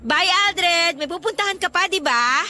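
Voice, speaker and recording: A woman's voice: extremely high pitch, expressive, unhurried, pushed and bright, full character voice. An actress playing a shy, defensive young working woman with a Filipino accent in a radio drama. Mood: flustered, urging.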